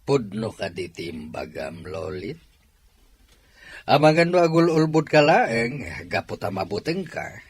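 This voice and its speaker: A man's voice: mid-range voice, sing-song, a little slow, breathy edge. A man acting a troubled father and master of the house in a melodramatic audio drama. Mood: stern, suspicious.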